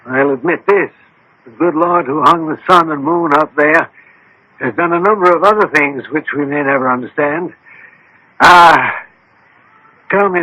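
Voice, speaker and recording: An actor playing a scholarly, unflappable detective, skeptical and wry, with formal, measured delivery in radio drama style. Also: male voice, fairly high pitch, sharp tone, breathy edge, no echo, exaggerated voice, poor audio. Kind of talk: 1980s radio drama acting. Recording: old radio drama broadcast.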